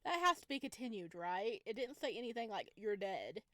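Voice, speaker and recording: A woman giving casual, expressive and playful let's-play commentary as she reacts to a visual novel. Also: mid-range voice, a little fast, no room sound. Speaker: gamer playing a visual novel. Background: music.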